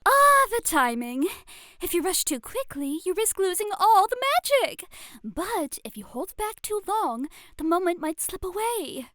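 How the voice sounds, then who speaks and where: A woman playing a playful, excitable lady tutor of flirtation in a scripted ASMR roleplay, speaking in a refined, gushing, theatrical manner. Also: very high-pitched, unhurried, no room sound, clean studio sound, exaggerated voice. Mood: coy, instructive.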